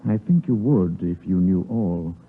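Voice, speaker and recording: A grave, evasive British gentleman doctor in an old radio play. His voice sits low and has a husky grain. The broadcast sound is narrow and muffled, and his formal speech tends to falter. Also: animated, exaggerated voice. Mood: earnest pleading. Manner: formal, halting under questioning. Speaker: radio drama actor. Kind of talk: 1950s radio drama acting.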